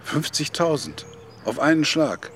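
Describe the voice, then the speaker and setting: A man's voice: fairly high pitch, sing-song, unhurried, slight background noise. An actor playing a kindly, upright older man, polite and a little hesitant, in a German radio crime drama. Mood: probing. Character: kindly, upright elderly widower pulled into crime.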